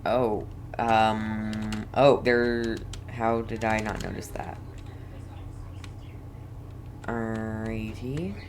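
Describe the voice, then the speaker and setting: Very low-voiced, unhurried woman gamer. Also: flat delivery, noisy background. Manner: thinking aloud, repeating words. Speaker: gaming video host. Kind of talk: casual playthrough commentary.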